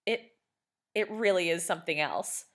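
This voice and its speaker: A woman's voice: lowish voice, moderate pace, a bit bright, lightly acted. A woman voicing a teasing, amused young boy in a storybook reading. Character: laughing boy friend in an alien costume.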